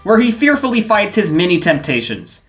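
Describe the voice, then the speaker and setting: A woman's voice: mid-range voice, big pitch swings, normal speed, over music. A woman hosting a video essay, narrating from a script with dry, sarcastic commentary.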